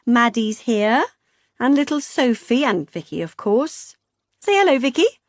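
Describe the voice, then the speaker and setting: A woman's voice: fairly high pitch, very animated, a little slow, no room sound, voice pushed up. A doting, fussy older mother, as a woman narrator puts her on, coos in a raised voice. The sound is dry and close. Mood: cooing. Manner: gently complaining, cooing.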